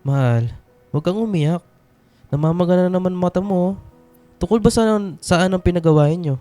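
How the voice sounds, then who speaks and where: A young husband in a radio drama, acted by a man, with a soft-edged mellow tone and a slightly raised pitch. He is gentle, caring and reassuring. Music runs underneath. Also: moderate pace, close to an everyday voice. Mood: concerned, surprised. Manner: gentle, concerned, soothing.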